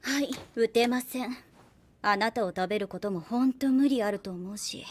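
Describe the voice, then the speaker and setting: An actress playing a shrewd young woman soldier in an anime drama, composed, unhurried and coolly persuasive with a soft teasing edge. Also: a little high, close to an everyday voice. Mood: cool threat. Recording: background music under the voice. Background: music.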